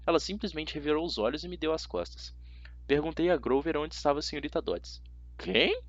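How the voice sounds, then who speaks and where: A man's voice: fairly high pitch, fast, no echo, dull audio. A man with a Brazilian accent narrating a story dramatically in the first person, as an amateur audiobook reader.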